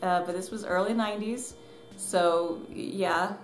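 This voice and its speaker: A woman's voice: lowish voice, steady tone, a little slow, faint music behind. A woman in her thirties from the southern US, chatting casually and self-deprecatingly as she tells a personal story to camera. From southern US, Tennessee and Georgia. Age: 30s. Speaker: author and vlogger. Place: small living room at home, indoors. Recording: echoey room with background noise.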